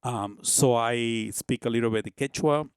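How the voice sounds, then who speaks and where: A man's voice: mid-range voice, moderate pace, clear, resonant tone, dry recording, studio quality. A man in his fifties from Peru with a Peruvian Spanish accent, a shaman guest in a casual podcast interview, rambling and often asking "you know what I mean?". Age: 50s.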